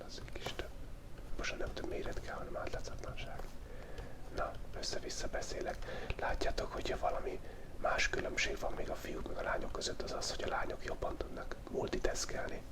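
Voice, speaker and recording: A young man whispering close to the microphone in a relaxed, joking way, rambling as he draws. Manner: whispering throughout, joking and rambling while drawing. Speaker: ASMR creator drawing a goblin. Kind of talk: whispered ASMR drawing chat. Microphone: close ASMR microphone.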